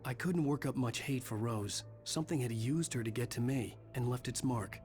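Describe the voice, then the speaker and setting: A man's voice: medium pitch, normal speed, darkish tone, close to an everyday voice. A man playing a brooding, weary writer who narrates his thriller ordeal in a video game, grave and introspective. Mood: grim. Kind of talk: scripted first-person game narration. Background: background music.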